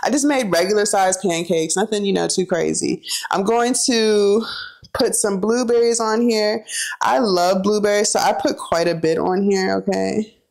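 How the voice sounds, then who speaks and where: A young woman talks as she cooks, gossiping casually and throwing in lots of filler words. She drifts between telling her story and narrating each step in the kitchen. Her voice sits at an ordinary middle pitch for a woman. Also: fairly even intonation, moderate pace, gravelly, a little mellow. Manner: chatty, gossipy, frequent "like" and "you know", laughs while talking; cooks while talking, narrating kitchen steps. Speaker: vlogger cooking and storytelling. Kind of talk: casual chatty storytelling while cooking.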